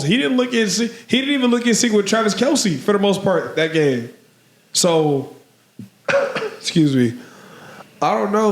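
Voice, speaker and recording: A man co-hosting a podcast, slightly hoarse from allergies, rambling through casual sports talk with lots of "man" and "bro". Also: sits high, roomy sound. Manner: rambling, filler-heavy, says "man" and "bro". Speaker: podcast co-host.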